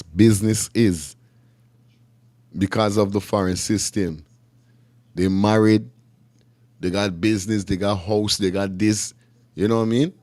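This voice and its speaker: A Guyanese man with a fairly low voice hosts a casual live chat. He talks in slangy street style and circles back over his phrases. The sound is dry, with little room around it. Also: a little mellow, no echo. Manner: repetitive, slangy street talk. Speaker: live stream host.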